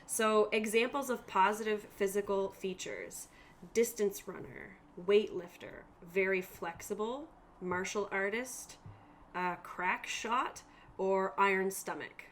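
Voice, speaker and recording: A woman's voice: medium pitch, slow, a little hiss or hum. A woman game master explaining tabletop rules to players in an informal way and reading out lists of examples.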